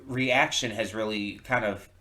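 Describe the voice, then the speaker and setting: A man giving casual, unscripted reaction commentary, thinking aloud in a reflective, halting way and often repeating words. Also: on the low side, steady tone, sharp tone. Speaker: reaction video host. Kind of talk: casual unscripted commentary, post-viewing thoughts.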